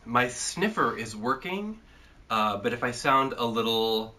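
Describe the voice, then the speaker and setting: A congested man with a bright, forward tone hosts a relaxed chat. He rambles and jokes, filling pauses with plenty of filler sounds, and his voice sits at an ordinary middle pitch. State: congested from a cold. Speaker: host. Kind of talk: casual chat.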